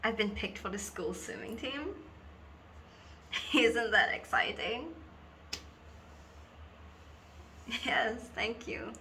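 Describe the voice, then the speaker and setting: A woman performing a dramatic monologue as a lonely, wistful girl who confides haltingly and theatrically in an invisible friend. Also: fairly high pitch, expressive, pushed and bright, slight background noise, heavily acted. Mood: wistful, hopeful. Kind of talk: dramatic monologue for an acting reel.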